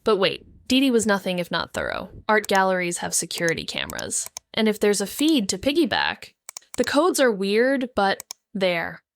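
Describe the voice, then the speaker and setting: An actress playing a wry, bitter, paranoid amateur sleuth, narrating a noir audio drama in a sardonic, brooding way. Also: female voice, medium pitch, sing-song, normal speed. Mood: sardonic, intent.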